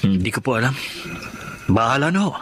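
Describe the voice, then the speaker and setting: An actor playing a troubled, frustrated husband in a radio drama, complaining yet respectful. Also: male voice, mid-range voice, lively intonation, fairly quick, fairly bright tone, slight background noise, close to an everyday voice. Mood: resigned, troubled. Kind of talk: Filipino radio drama acting.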